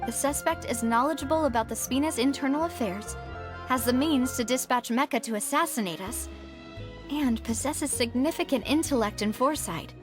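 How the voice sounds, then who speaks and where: A woman playing a poised, determined young lady investigator in a video game, composed and expressive. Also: high voice, moderate pace, over music, natural voice. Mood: grave.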